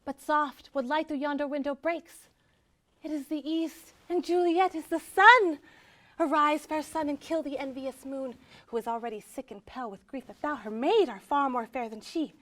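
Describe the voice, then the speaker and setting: Lovestruck young Romeo played by a woman, high-voiced. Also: normal speed, fairly bright tone. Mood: wonder. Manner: ardent, breathless wonder, swinging emphasis. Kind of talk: Shakespearean stage monologue in verse.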